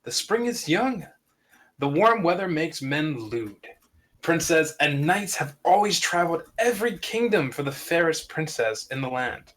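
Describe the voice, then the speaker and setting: Fantasy table read: man as protective dragon father figure, grandiose storybook diction, voice a touch high. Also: sing-song, measured pace, a bit bright, exaggerated voice. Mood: reassuring. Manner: earnest, grandiose, storybook phrasing. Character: devoted fatherly dragon guarding a princess.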